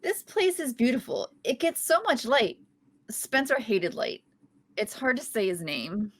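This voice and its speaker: A woman's voice: on the high side, sing-song, unhurried. A woman reading the part of a chatty, awkward young woman at a sitcom table read. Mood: wistful.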